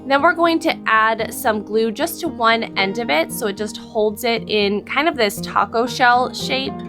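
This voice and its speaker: A woman in her thirties, a little hoarse from losing her voice, explaining a craft project step by step in a friendly voice-over. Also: on the high side, measured pace. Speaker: crafting channel host. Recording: voice-over with background music.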